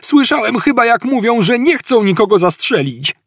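A man's voice: very animated, normal speed, no echo, clean studio sound, exaggerated voice. A man playing a frightened, plaintive fellow under fire in a comic audiobook, yelping his complaints. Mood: panicked, plaintive. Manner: shrill, plaintive.

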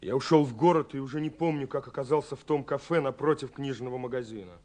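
An actor playing an earnest young romantic in a 1970s Soviet radio play, confiding haltingly with dramatic pauses. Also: male voice, medium pitch, darkish tone, close to an everyday voice. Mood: agitated, dreamy. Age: young adult. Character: earnest young romantic man searching for a mysterious girl. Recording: 1970s radio recording with faint music. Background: faint music.

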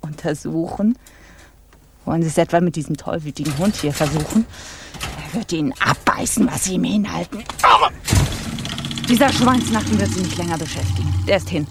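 A commanding woman pirate captain played by an actress with a lowish voice, bitter and passionate. She accuses imperiously in an intense radio drama, over faint hiss. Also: brisk, close to an everyday voice.

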